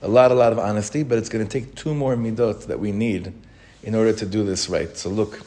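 Earnest rabbi teaching a class. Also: male voice, on the low side, fairly even intonation, mellow. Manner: earnest, self-questioning, repeats phrases for emphasis; reads Hebrew text quickly, then explains it. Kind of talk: informal Torah talk.

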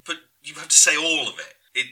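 An actor playing a blunt, exasperated audiobook producer in improvised comedy, speaking with impatient bluntness. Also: male voice, a little high, steady tone, fairly quick, background noise, natural voice.

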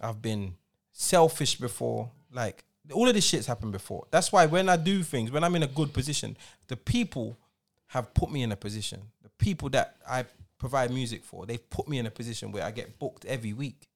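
A man's voice: mid-range voice, lively intonation, fairly quick, vocal fry. A man in his forties, co-hosting a podcast and telling stories in a slangy, emphatic way. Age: 40s. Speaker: podcast co-host, DJ. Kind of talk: casual chat.

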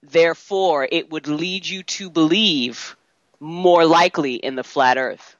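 A woman's voice: deep voice, unhurried. A woman co-hosting a radio show in casual banter, outspoken and given to joking. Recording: dull lo-fi audio.

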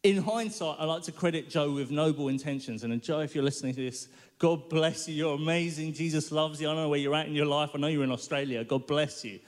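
A man giving his testimony at a live worship event, animated and self-mocking as he tells his story. His voice sits a touch high for a man, and it carries through a big hall with a long reverb. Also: fast talker. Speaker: guest speaker. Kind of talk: personal testimony sermon.

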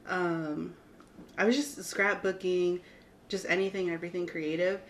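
A woman's voice: on the low side, steady tone, moderate pace, slight background noise. A young adult woman from Austin, Texas, a graphic designer guest talking casually, rambling and modest with many fillers. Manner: rambling, heavy on "like", giggly and modest. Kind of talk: casual interview chat.

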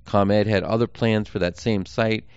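Smooth low-voiced American man. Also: fairly even intonation, a little slow, a little mellow, no room sound, dull audio. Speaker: political candidate hosting a campaign podcast. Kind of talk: informal campaign update monologue.

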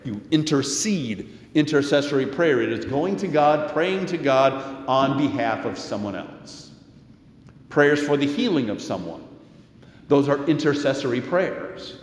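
A middle-aged or older American man preaching as a pastor, measured and deliberate, with pauses and rhetorical questions. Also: on the high side, darkish tone. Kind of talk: sermon. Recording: echoey church hall with long reverb.

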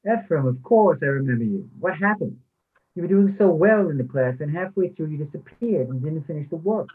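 Actor as tinny-sounding regretful former professor. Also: male voice, a little high, lively intonation, brisk, lightly acted. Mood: apologetic, regretful. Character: forty-year-old former English professor, regretful, aggrieved. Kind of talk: play reading over the phone and in dialogue. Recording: thin, tinny phone-like sound.

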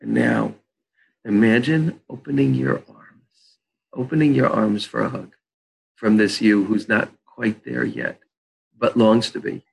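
An older man, a therapist, leading a slow guided visualization and then chatting about his practice. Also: fairly low pitch, lively intonation, creaky, husky, rough recording. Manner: slow soothing guided-meditation delivery.

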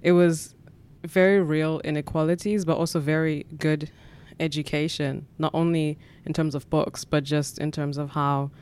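A woman's voice: low voice, flat delivery, moderate pace, darkish tone, clear, resonant tone. A South African woman in her twenties, a podcast guest answering questions in a thoughtful, reflective way with frequent fillers. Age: 20s. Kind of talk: conversational interview answers.

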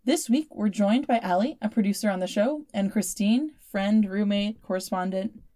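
A woman hosting a podcast, speaking in a measured, conversational way. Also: mid-range voice, mellow. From United States. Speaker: podcast host. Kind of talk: podcast narration and chat.

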